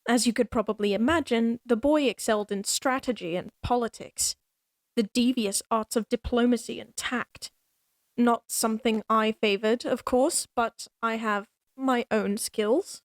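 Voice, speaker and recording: A woman's voice: on the high side, unhurried, no room sound. A woman playing a proud, teasing young lady knight in a fantasy audio roleplay, with formal, old-fashioned, dryly haughty phrasing. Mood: composed storytelling. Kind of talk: fantasy audio roleplay acting addressed to the listener.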